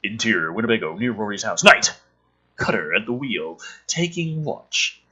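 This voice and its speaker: Animated, forceful-voiced man with a rasp. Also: on the low side, moderate pace, lo-fi sound. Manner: sarcastic, profane. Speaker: host reading a film script aloud with commentary. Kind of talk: casual dramatic script reading with ranting asides.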